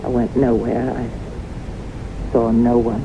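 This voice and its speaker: An actress playing a haunted woman who recounts disasters in dramatic, deliberate radio drama style. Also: very deep voice, level tone, full character voice. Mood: haunted, sorrowful. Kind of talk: 1970s radio drama acting. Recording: muffled noisy old radio recording.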